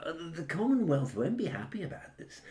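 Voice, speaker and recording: An actor in a satirical radio play as a scheming, jingoistic senior government strategist, pompous, persuasive and calculating. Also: male voice, a little high, big pitch swings, a little fast, dark tone. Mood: smoothly calculating. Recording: roomy, echoing interior.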